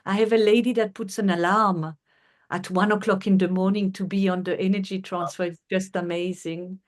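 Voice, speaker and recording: A middle-aged woman from France, speaking English with a French accent as an interview guest, earnest and unhurried with frequent fillers. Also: medium pitch, fairly even intonation. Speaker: guest, healer. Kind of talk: conversational interview.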